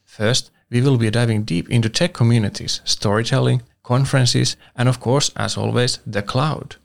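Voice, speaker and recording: A man co-hosts a podcast in a formal, scripted manner. He reads the season intro and the guest's tagline with careful pacing. His voice sits at an ordinary pitch for a man. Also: moderate pace, vocal fry. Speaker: podcast co-host. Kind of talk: podcast hosting, partly scripted.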